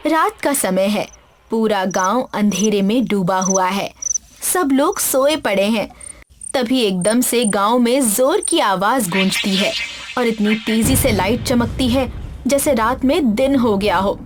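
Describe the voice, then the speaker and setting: A woman's voice: on the high side, measured pace, noisy background. A woman narrating a scripted story for children.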